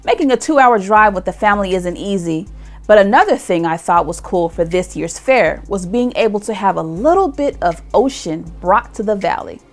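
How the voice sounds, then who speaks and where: A woman's voice: medium pitch, animated, normal speed. Upbeat woman narrating over light music. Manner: upbeat, conversational. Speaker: show host.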